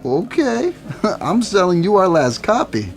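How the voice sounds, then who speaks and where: A man's voice: sits high, wildly swooping pitch, a little slow, a little hiss or hum, heavily acted. A man playing a young record store clerk who loves goth music, with a playful, teasing way of talking. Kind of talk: audio drama acting.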